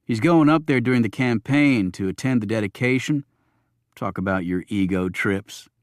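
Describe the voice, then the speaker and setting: A man's voice: lowish voice, moderate pace, no echo, clean studio sound, close to an everyday voice. A man playing a wisecracking, weary Secret Service agent in an audiobook, joking in a colloquial way. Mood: amused.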